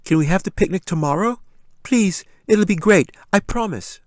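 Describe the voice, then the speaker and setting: A man voicing an excitable, eager young girl in an audiobook story. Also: sits high, normal speed, no room sound, clean studio sound, voice pushed up. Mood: eager pleading.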